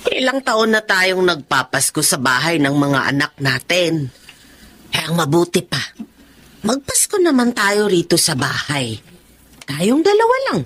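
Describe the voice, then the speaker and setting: A woman's voice: on the low side, measured pace, husky, full character voice. An actress playing a caring, emotional older mother in a radio drama, given to exclamations. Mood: warm. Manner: expressive, exclamatory.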